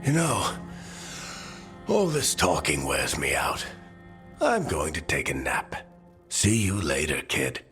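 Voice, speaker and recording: Older game mentor: very low, expressive, dry and weary, music underneath. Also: male voice, takes it slowly, long pauses, heavily acted. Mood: wry, tired. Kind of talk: video game dialogue acting.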